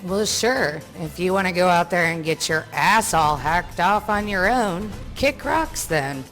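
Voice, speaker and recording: A woman's voice: on the low side, lively intonation, measured pace, a bit bright, soft background music. A woman voicing a brash, foul-mouthed Nord warrior in a game, with a sarcastic, brazen manner. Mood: dismissive. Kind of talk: voiced game character dialogue.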